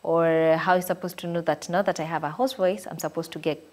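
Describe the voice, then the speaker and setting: A woman's voice: on the low side, steady tone, quick pace. Woman hosting a health interview. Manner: attentive, asks questions between frequent short backchannels. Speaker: TV host. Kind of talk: interviewing a guest.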